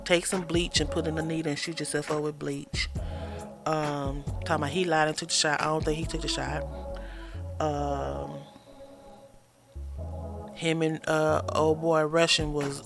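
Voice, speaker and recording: A woman's voice: deep voice, gravelly. A woman co-hosting a podcast with her husband, talking in casual political chat, listing points wryly with sarcasm and frequent fillers. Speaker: podcast co-host.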